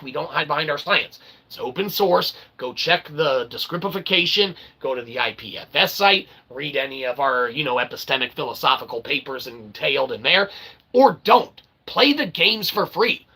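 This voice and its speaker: A man's voice: fairly high pitch, pushed and bright, slightly rough. A man hosting a rambling, tongue-in-cheek monologue to his viewers, promoting his own work and often checking in with "okay". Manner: tongue-in-cheek, self-promoting, repeatedly tags phrases with "okay". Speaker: independent philosopher channel host.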